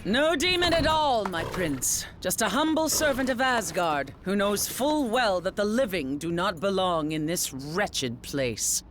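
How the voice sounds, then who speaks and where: A woman playing a loyal, steady warrior maiden in a video game, formal and respectful with theatrical delivery. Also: medium pitch, measured pace, hardly any pauses, natural voice. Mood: solemn, loyal. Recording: clear background noise.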